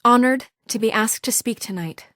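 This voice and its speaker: A woman's voice: a little high, moderate pace, fairly bright tone, no echo, studio quality, close to an everyday voice. An actress voicing a composed, dutiful woman in a video game, earnest and controlled, with a stern edge when pushed. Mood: solemn. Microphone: dry studio mic.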